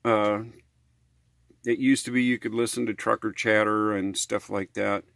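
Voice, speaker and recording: American guy doing a casual how-to vlog, slow and rambly, pretty dry sound. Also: on the low side, flat delivery, vocal fry, a bit bright, no echo. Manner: rambling, hesitant, folksy. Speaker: RV living vlogger.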